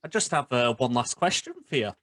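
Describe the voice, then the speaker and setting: A man's voice: mid-range voice, expressive, fairly quick, fairly bright tone, no room sound. A man hosting a casual interview, asking questions in a conversational way. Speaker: podcast host, interviewer.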